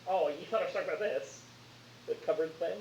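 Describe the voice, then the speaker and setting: A man speaking casually as a game master, narrating haltingly and often searching for words. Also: on the high side, vocal fry, crowd noise. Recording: roomy echo, dull lo-fi sound, faint hiss.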